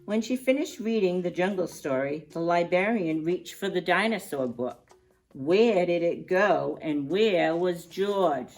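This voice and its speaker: A woman's voice: on the low side, expressive, unhurried, a little mellow, soft background music, low-quality recording. Woman reading a children's story, leisurely pace. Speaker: children's storyteller reading a picture book aloud. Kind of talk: read-aloud storytime with chatty asides to young listeners.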